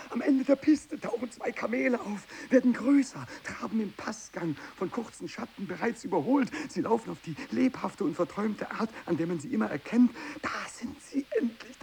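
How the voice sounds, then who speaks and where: An actor playing a tormented, fanatical renegade missionary who worships evil, in a feverish radio drama monologue from the 1960s. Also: male voice, creaky, full character voice. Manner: feverish, swinging from hushed whispering to shrill ecstatic cries. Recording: hissy 1960s radio recording.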